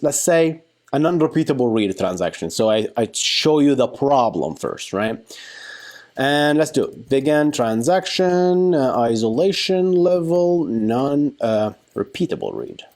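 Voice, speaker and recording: A man giving an informal software tutorial, talking through a live demo in a playful, colloquial way and thinking aloud. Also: mid-range voice, very animated, a little slow. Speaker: software engineering instructor.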